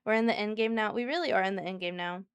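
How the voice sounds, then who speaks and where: A woman streaming live and chatting easily with her viewers by name. Her soft, soothing voice sounds smooth and clear. It is recorded dry and close, with no room sound. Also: medium pitch, quick pace, dry recording. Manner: chatty and giggly, talks to chat by name.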